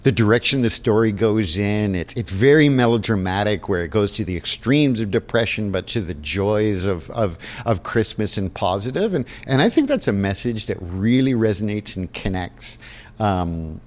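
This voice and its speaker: A man's voice: on the low side, animated, normal speed. A man explaining things earnestly and enthusiastically in a conversational interview. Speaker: theater technical director and stagecraft instructor. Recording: reverberant hall-like room.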